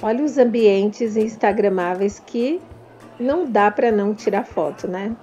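A woman's voice: medium pitch, a little slow, mellow, lo-fi sound, soft background music. A woman with a Brazilian Portuguese accent, narrating a vlog about a trade fair and talking straight to her viewers in a chatty way. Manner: chatty, addresses viewers directly. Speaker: vlogger and confectioner.

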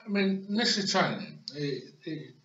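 A man's voice: on the high side, lively intonation, moderate pace, bright, forceful tone. A young man co-hosting a casual beer chat, rambling with fillers, recorded on a webcam in a small room. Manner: rambling, many fillers like "you know what I mean". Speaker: co-host. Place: small bedroom with posters, indoors. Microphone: webcam on desk. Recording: roomy, dull lo-fi webcam audio.

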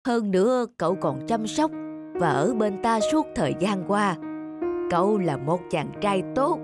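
A woman voicing a kindly, forgiving old man who speaks slowly and solemnly, in an animated moral story with music behind. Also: mid-range voice. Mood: grateful, encouraging.

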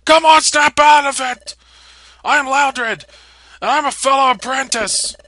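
A man voicing a loud, bossy, impatient monster apprentice from a video game, bellowing his lines. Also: extremely high pitch, measured pace, no room sound, pitched above the performer's own voice, heavily acted. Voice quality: loud, shouted. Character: loud, bossy male monster apprentice, barking wake-up calls.

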